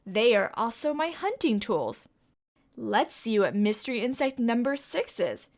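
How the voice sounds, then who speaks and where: A woman's voice: fairly high pitch, expressive, measured pace. A young woman reading a picture book aloud to children, with a sing-song, coaxing way of asking the listeners questions. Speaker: children's storytime reader.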